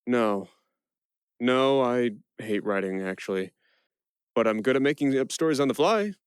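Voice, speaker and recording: A man playing a quick-witted prisoner who narrates his own tale in a first-person audio play. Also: medium pitch, expressive, clean tone, dry recording, clean studio sound, natural voice. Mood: conversational.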